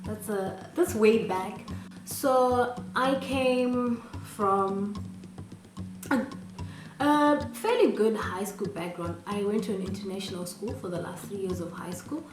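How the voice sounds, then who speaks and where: A woman's voice: mid-range voice, slow pace, echoey room, far from the mic, music in the background. A woman in her late twenties from Zimbabwe, giving earnest, encouraging advice to new university students with rhetorical questions. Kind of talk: advice vlog, direct address.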